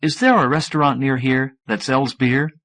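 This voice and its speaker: A man's voice: medium pitch, steady tone, normal speed, a bit bright, lightly acted. A man voicing an impatient, sardonic male scientist, the hero of an audiobook novel, in a direct manner. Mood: brisk.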